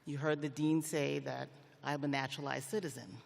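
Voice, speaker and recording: A middle-aged man from Liberia delivering a prepared commencement speech in a measured, formal, deliberate way. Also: medium pitch, flat delivery, creaky. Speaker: commencement speaker, federal magistrate judge. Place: large indoor arena, on stage at a ceremony. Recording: long reverb of a large arena.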